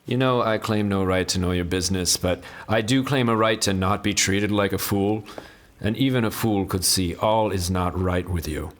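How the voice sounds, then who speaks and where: Low-voiced, kindly, concerned friar played by an actor. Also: male voice, normal speed, lightly acted. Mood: wary, troubled. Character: kind, concerned, principled friar and loyal friend. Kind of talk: fantasy audio drama acting.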